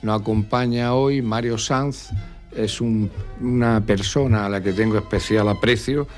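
Low-voiced man interviewing on radio. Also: fairly even intonation, soft background music. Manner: friendly, halting questions. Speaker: radio historian co-host.

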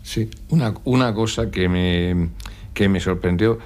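A man hosting a weekly history segment on radio, asking halting, friendly questions. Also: low-pitched, darkish tone.

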